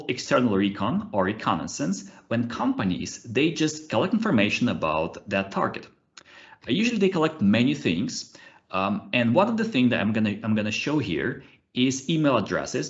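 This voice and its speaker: A man in his thirties with a Russian accent presents online, his low voice rising and falling in lively swings. He stumbles through fillers and restarts. The call audio sounds dull and muffled. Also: moderate pace. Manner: hesitant, many fillers and restarts, animated. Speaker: conference speaker, ethical hacker. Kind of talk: webinar presentation with live demos. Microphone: computer mic on a video call.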